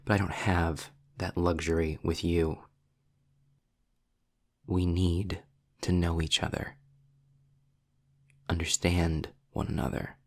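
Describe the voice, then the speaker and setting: A man playing a sarcastic, worldly demon in an intimate audio roleplay, speaking in a low, gravelly, breathy voice with dry, deliberate pauses, often slipping toward a whisper. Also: flat delivery, darkish tone. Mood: wry, reluctant. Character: sarcastic, worldly male demon, trapped, grudgingly explaining.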